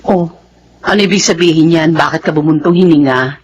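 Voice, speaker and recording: A woman's voice: deep voice, fairly quick, a little hiss or hum, lightly acted. A woman playing a teasing, inquisitive and supportive best friend in a radio drama.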